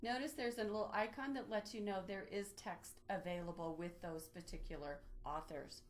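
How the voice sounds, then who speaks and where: A woman presenting an instructional software walkthrough, explaining methodically step by step in a lightly improvised way. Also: medium pitch, fairly even intonation, normal speed. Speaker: presenter of a library database tutorial.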